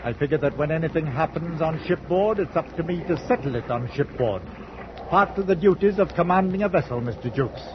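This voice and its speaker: A man's voice: fairly high pitch, lively intonation, noisy background, natural voice. An actor, a man, playing a stolid, fair-minded ship's captain who is plain-spoken and understated in an old-time radio drama. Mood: firm, mild. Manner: understated, plain-spoken, hesitant with small pauses. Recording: muffled vintage radio recording with music.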